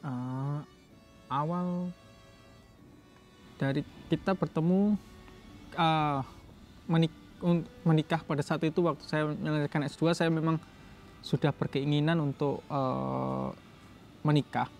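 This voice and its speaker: A young man with a slightly high voice talks casually about his own life. He feels his way through phrases and corrects himself as he goes. Soft music plays behind him. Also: steady tone, measured pace, gravelly, pauses often. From Jombang, East Java, Indonesia. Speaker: doctoral student telling his story. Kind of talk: casual personal storytelling.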